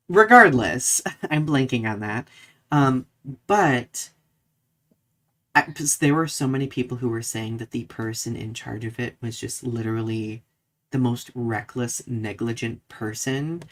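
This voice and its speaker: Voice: mid-range voice, unhurried, a bit bright. A podcast co-host chatting through current events on a live show in a conversational, informal way.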